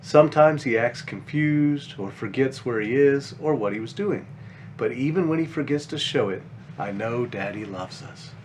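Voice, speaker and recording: A man's voice: medium pitch, normal speed, noisy background, natural voice. A man voicing a caring five-year-old big sister bunny from a children's picture book, explaining things simply and earnestly.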